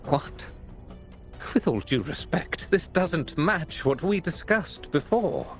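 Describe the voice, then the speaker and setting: A man's voice: a little high, expressive, slow, soft background music. A male actor playing a pompous, indignant art exchange boss who speaks in formal, self-righteous oratory. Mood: affronted.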